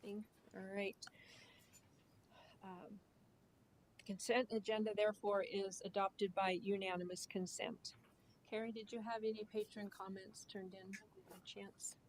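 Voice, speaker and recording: A woman's voice: mid-range voice, flat delivery, a little slow, a little hiss or hum, rough recording. A woman chairing a school board meeting in a conference room, casual and joking as she runs the agenda. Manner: casual, plain-spoken and joking.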